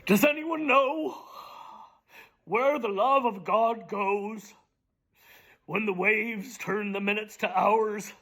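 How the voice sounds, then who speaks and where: A middle-aged man giving a slow, theatrical reading of lyrics, often dropping to a hushed whisper. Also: high voice. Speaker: dramatic reader. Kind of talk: dramatic spoken reading of song lyrics.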